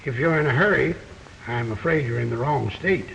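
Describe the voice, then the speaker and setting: Wry, joking hotel clerk; echoey old radio recording. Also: male voice, mid-range voice, normal speed, vocal fry, fairly bright tone. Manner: wisecracking, chuckling. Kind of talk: 1940s radio acting. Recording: old radio recording with long reverb and faint hiss.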